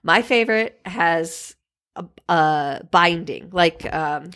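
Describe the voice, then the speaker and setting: A woman in her forties co-hosting a podcast, chatting with enthusiasm and agreeing warmly. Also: on the low side, expressive, slow pace. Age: 40s. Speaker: podcast co-host. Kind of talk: casual chat.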